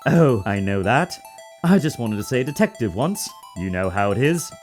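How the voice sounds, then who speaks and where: A man's voice: medium pitch, very animated, normal speed, lightly acted. An obsequious hotel bellboy acted by a man in a game drama, his voice leaping wildly up and down. He is pompous, eager and melodramatic, over a music bed. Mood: excited. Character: eager, self-important, morbidly excitable hotel bellboy. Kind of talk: dramatized video game dialogue.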